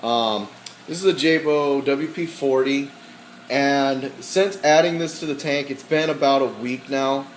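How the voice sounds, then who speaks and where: A man walking through his aquarium equipment in a casual, halting way, with many ums and frequent throat clearing, his voice slightly hoarse. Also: medium pitch, echoey room, slight background noise. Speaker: aquarium hobbyist.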